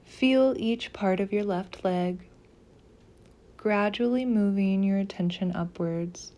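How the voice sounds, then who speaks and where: A woman leading a guided meditation as a scripted practice, speaking softly and gently in deliberate phrases with pauses. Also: medium pitch.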